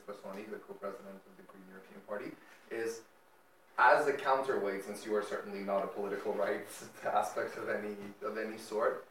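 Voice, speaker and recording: Young serbian guy asking a long earnest question from the floor, low voice, way off mic in an echoey room. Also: a bit bright. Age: young adult. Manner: earnest, long-winded proposal. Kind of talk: audience question at a panel. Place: lecture room. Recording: echoey room, distant mic, faint noise.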